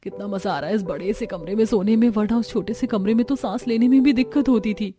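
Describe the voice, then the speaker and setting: A woman's voice: mid-range voice, fast talker, natural voice. A woman playing a young daughter-in-law in a family cartoon. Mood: contented.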